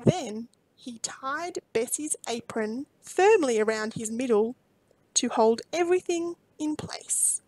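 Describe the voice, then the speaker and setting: A woman reading a children's storybook aloud expressively, with deliberate phrasing and dramatic emphasis. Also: fairly high pitch, lively intonation, a little mellow, no room sound, poor audio.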